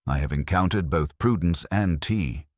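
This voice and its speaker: A man reading a genteel, evasive gentleman suspect in an audiobook, dry, formal and composed. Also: sits low, normal speed, no echo, lightly acted.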